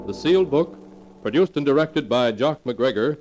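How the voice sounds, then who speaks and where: A man narrating a scripted 1940s radio horror show in a solemn, theatrical, measured style. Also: mid-range voice, animated, a little mellow, lo-fi sound. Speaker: announcer and narrator. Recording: noisy old 1940s radio recording.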